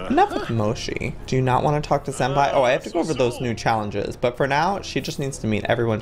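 Young guy doing excitable gameplay commentary, lively pitch, music in the back. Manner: excitable, exaggerated reactions, breaking into laughter. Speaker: gaming video host.

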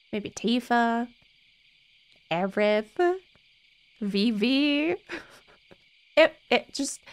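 A young woman chatting casually to viewers as a game livestreamer, expressive and giggly, on a close desk mic. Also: fairly high pitch, low-quality recording. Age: young adult. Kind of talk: casual chat with stream viewers. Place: indoor room, streaming setup. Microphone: close desk microphone on arm. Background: faint game music.

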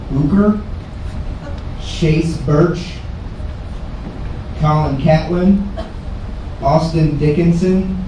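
A man's voice: fairly high pitch, warm tone, lo-fi sound. An American man speaking at a banquet ceremony, reading out a list of names, recorded from across the hall. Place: indoor banquet hall with PA at a podium, filmed from the audience. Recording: echoey banquet hall, distant mic, faint noise.

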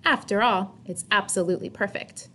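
A woman's voice: medium pitch, normal speed, sharp tone. A woman voicing a supportive, wordy father mouse from a storybook in an emphatic manner. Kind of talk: children's storybook character.

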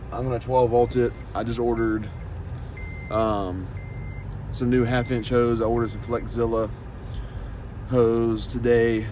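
A man's voice: lowish voice, a little mellow. Chatty young man vlogging in car. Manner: casual, chatty, addresses viewers as guys. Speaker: pressure washing business owner. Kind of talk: casual vlog narration while driving. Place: inside a parked or moving car. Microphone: phone camera held at arm's length. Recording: phone recording in a car with road noise. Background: car and outdoor noise.

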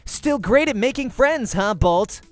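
A man voicing a sharp, bossy young woman gamer who scolds and taunts, in a visual novel. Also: very high-pitched, expressive, unhurried, voice pushed up. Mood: taunting.

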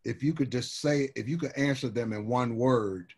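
A man's voice: medium pitch, moderate pace, darkish tone, slightly rough. An older man from Birmingham, Alabama, telling easygoing, rambling stories as a guest over a video call from home. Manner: easygoing, rambling storyteller with lots of "you know". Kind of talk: casual interview storytelling. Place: home room with wood shutters and framed pictures.

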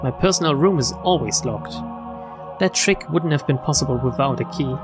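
A streamer reading a visual novel aloud in an expressive way, with casual, joking asides. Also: medium pitch, moderate pace, music in the background. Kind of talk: let's play read-aloud with casual asides.

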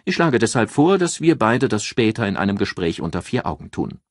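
Male state prosecutor for audiobook, played by a male narrator: jovial menace, formal, commanding, raised husky delivery. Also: fairly low pitch, very animated, no room sound, studio quality, pitched above the performer's own voice. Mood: cool, commanding. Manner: pompous, formal, deliberate emphasis. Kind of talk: audiobook character dialogue.

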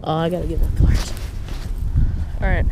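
Casting: young male angler vlogger, slangy and exclamatory, action camera audio with clear outdoor noise. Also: on the high side, little pitch movement, moderate pace. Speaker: fishing vlogger. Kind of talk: casual excited chat while fishing. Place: outdoors on a rocky reservoir shore. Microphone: body-worn action camera.

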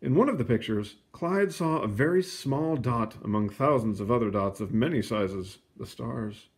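A man reads a picture book aloud for children. His pitch moves freely, with a gravelly creak, and he explains things in an expressive, casual way. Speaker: storybook reader.

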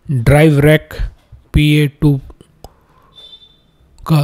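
Guy doing a casual unboxing, mellow voice, pretty flat, explains stuff step by step. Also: a little high, extremely slow. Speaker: product unboxing presenter.

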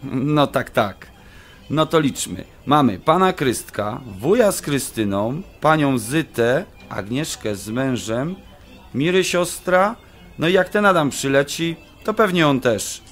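An actor playing a mildly exasperated, placating adult son, speaking casually in a radio drama. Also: male voice, on the high side, lively intonation, slow pace, soft background music. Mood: reasoning.

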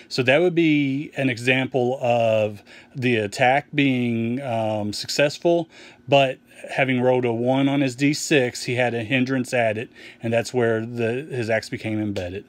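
A man's voice: medium pitch, no room sound. Guy explaining his rpg rules off the cuff, medium voice, dry sound, lots of ums. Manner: relaxed, frequent ums and restarts. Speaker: game publisher explaining rules. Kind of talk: casual off-the-cuff rules walkthrough. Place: indoors at a table with a rulebook.

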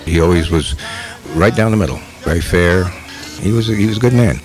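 A man's voice: deep voice, fairly quick. A man giving a short, simply worded spoken tribute. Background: clear music.

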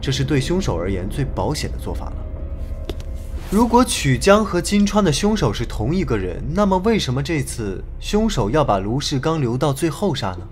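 Lively young male detective played by an actor. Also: medium pitch, normal speed, soft background music, lightly acted. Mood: earnest, analytical. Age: young adult. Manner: articulate, methodical, reasoning aloud. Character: confident young male police detective, analytical, returned from abroad. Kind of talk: Chinese audio drama acting.

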